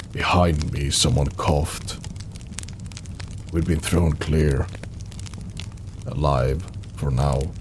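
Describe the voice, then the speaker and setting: A man narrating an audio drama in the first person, with a somber, measured, dramatic delivery in short phrases and a gravelly voice. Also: very deep voice, noisy background. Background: background music.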